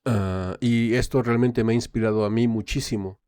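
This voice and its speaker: A man's voice: mid-range voice, fairly even intonation, a little mellow, no room sound. Guy translating live on a reaction vid, lots of ehs and estes, dry close sound. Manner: hesitant, filling pauses with "eh" and "este" while translating. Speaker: reaction channel host. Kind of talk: casual live translation and commentary.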